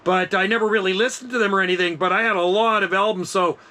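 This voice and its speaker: Bright, high-pitched man chatting on radio. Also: fairly even intonation, fast. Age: middle-aged. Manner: enthusiastic, laid-back slangy talk. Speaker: amateur radio operator. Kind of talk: casual radio chat.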